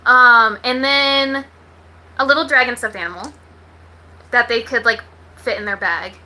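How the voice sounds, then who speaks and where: Bright, high-voiced American woman chatting bluntly. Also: fairly even intonation, a little slow. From Texas, United States. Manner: blunt, slangy, swears freely. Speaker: livestream host. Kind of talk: casual chat to viewers.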